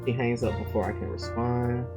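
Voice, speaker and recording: A man's voice: mid-range voice, little pitch movement, moderate pace. A man plays through a horror visual novel, reading its narration aloud and tossing in joking, slangy asides. His voice sits in a middle range. Game music, rain and thunder run behind him. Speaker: game streamer.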